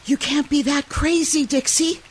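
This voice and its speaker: An actress playing a distraught, pleading femme fatale in 1940s radio drama style. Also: female voice, sits high, fairly even intonation, a little slow, very noisy. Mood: frightened pleading.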